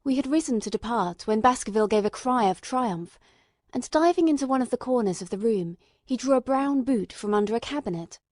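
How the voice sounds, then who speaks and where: A woman's voice: on the high side, lively intonation, brisk, darkish tone, dry recording, studio quality. A woman reading a classic detective story as a scripted audiobook narrator.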